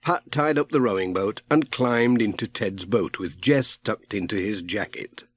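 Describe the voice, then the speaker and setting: A man narrating a children's audiobook in a measured, expressive storytelling style. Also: fairly low pitch, slightly rough.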